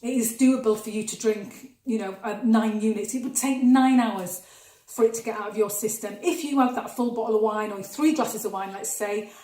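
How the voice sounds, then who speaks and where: A woman speaks in a slightly high voice. She chats through practical health advice in an emphatic, conversational way and repeats words to stress them. The room gives her voice a noticeable echo. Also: darkish tone. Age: 50s. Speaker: nutritional therapist. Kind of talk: casual advice vlog to camera. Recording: echoey room.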